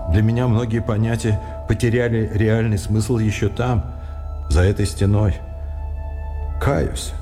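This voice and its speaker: An actor playing an eloquent, lofty and humane intellectual in a radio drama, speaking in ornate rhetorical phrases. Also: male voice, on the low side, natural voice. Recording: reverberant radio play with music behind.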